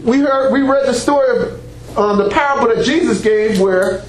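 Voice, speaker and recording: A preacher with a strikingly high voice teaches a congregation without a microphone, loud and emphatic. He punctuates his points with call-and-response exclamations of praise. His unamplified voice rings around an echoey church room, with faint background hiss. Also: moderate pace. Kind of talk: sermon-style teaching to a congregation. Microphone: unamplified voice picked up by a room mic.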